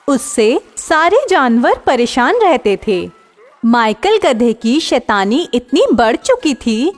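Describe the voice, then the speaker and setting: Slow, lively woman storyteller. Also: high voice, big-room sound. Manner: slow, clear, expressive storytelling. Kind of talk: scripted narration of a children's story.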